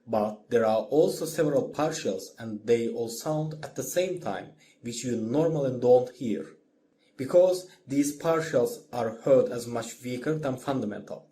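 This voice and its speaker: A man narrates a scripted lesson in a measured, instructional way. His voice sits at an average male pitch with a mellow, relaxed tone. A faint noise runs under the recording. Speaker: music orchestration lecturer.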